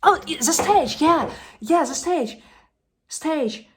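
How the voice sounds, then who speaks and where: A woman performs a distraught young actress in a stage drama monologue. Her voice is high and breathy, moving slowly and haltingly between hushed and loud delivery. She is worn out, yet clings to faith. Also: expressive, slight background noise. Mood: agitated, confused. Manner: halting, repeats words, swings from hushed to loud.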